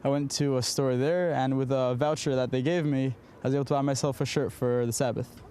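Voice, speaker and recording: A man's voice: medium pitch, steady tone, a little fast, dark tone, clear, resonant tone. A young man, a soldier, giving a plain personal answer in an interview. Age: young adult. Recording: faint outdoor urban noise.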